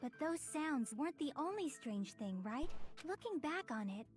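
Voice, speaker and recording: An actress playing a sharp, earnest teenage girl who reasons through a case in an anime game dub. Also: sits high, big pitch swings, normal speed. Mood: reasoning, firm. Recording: game music behind the voice.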